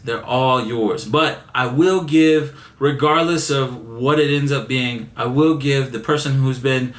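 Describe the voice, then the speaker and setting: Young male vlogger, energetic and casual, a little raspy, echoey room sound. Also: on the high side. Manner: excited, repeats words, says man a lot. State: still a bit raspy after laryngitis. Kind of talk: casual vlog chat to camera.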